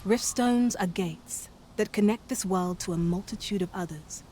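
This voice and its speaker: A woman playing a solemn otherworldly guide in a fantasy game, speaking archaic English in a formal, measured, deferential way. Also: medium pitch, warm tone, slight background noise, lightly acted. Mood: solemn, courteous. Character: otherworldly female Pawn, solemn, dutiful servant guide.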